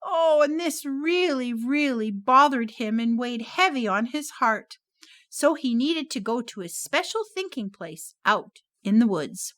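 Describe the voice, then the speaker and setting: High, animated woman telling children's stories. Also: unhurried. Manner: animated, sing-song. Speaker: puppet show storyteller. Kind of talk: children's story narration.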